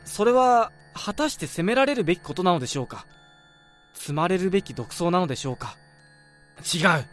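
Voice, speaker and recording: A man's voice: sits high, a bit bright. An actor playing a polite but menacing young male office worker in a radio drama, shifting from teasing to coolly sinister talk. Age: young adult. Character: sly young male coworker who talks about murder.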